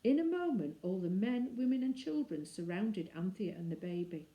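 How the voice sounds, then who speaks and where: A woman's voice: mid-range voice, animated, moderate pace, muffled recording. A woman reading a children's story aloud expressively, dramatizing the lines with shifting emphasis. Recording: roomy, echoing indoor sound.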